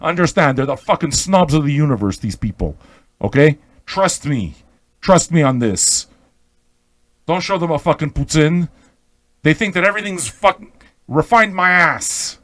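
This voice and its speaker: Brash higher-pitched man ranting on radio. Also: animated, moderate pace, long pauses, fairly bright tone, no echo. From Montreal, Quebec. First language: Italian. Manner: brash, profane, combative; emphatic, repeats phrases and checks in with "okay?". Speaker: online pirate radio host. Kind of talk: off-the-cuff ranting monologue.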